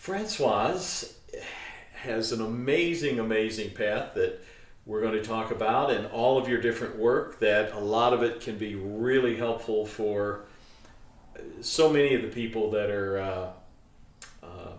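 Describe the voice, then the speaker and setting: A man's voice: on the low side, unhurried. A male interviewer visiting a guest's home, chatty and playful, paying compliments as he introduces her. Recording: echoey room, distant mic.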